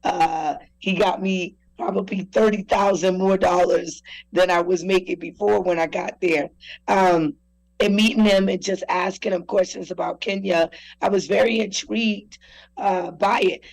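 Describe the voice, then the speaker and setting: A woman, from South Carolina, telling her story as a guest on a talk show, relaxed, with many fillers. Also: fairly low pitch, measured pace, vocal fry, low-quality recording. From South Carolina, USA. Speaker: guest entrepreneur. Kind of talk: interview storytelling.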